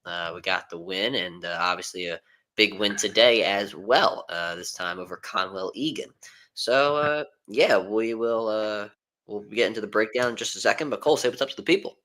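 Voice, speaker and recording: A man's voice: on the low side, normal speed, bright, forceful tone, low-quality recording. A young man hosting a casual sports podcast, rambling with lots of ums and uhs. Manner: rambling with many fillers. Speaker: podcast host.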